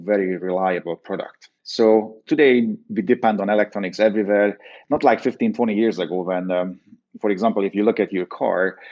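A man's voice: low voice, darkish tone. A man from Hungary with a Hungarian accent, explaining things as an engineering guest in an interview. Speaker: podcast guest, engineering executive. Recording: dull, lo-fi interview audio.

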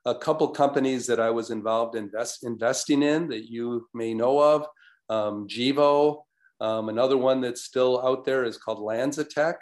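Older man, measured guest expert. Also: mid-range voice. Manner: measured, with frequent ums and restarts. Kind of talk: self-introduction on a webinar.

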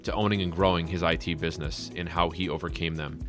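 A man's voice: deep voice, fairly even intonation, fast, music in the background. A male podcast host who interviews his guest casually and offers upbeat, enthusiastic praise.

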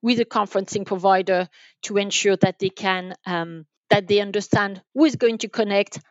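A woman explaining things as an expert guest in a podcast interview, haltingly, often repeating and rephrasing her phrases. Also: medium pitch, steady tone, no echo, lo-fi sound. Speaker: guest, enterprise sales manager.

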